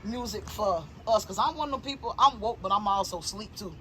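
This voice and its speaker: A woman in her thirties with a Southern US accent hosts a loose sit-down chat. She talks in an animated, slangy way and makes strong opinions plain. A light hiss of background noise sits under her voice. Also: mid-range voice, brisk. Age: 30s. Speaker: interview host. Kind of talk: casual interview chat.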